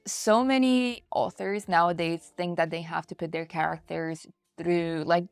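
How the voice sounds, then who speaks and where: A woman's voice: mid-range voice, vocal fry, no room sound. A woman hosting a book review in a chatty, conversational way, speaking directly to her viewers. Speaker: book reviewer and channel host.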